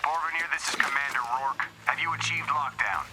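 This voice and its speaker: An actor as a commanding, impatient male officer barking curt game-scene orders on the radio. His voice sits a little high, with clear noise around it. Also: a little fast, natural voice. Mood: stern, impatient.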